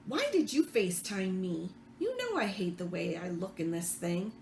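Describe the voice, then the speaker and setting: A woman's voice: medium pitch, big pitch swings, normal speed, creaky, a little mellow. A woman voicing a vain, self-centred mother in a novel, curt, blasé and complaining. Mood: irritated. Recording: roomy echo, faint background noise.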